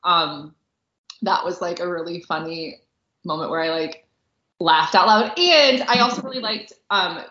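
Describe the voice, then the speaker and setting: A woman's voice: fairly low pitch, measured pace, pushed and bright. A woman co-hosting a podcast in casual chat, gushing informally about what she enjoys. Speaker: podcast co-host and film critic. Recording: roomy, echoey, slightly dull audio.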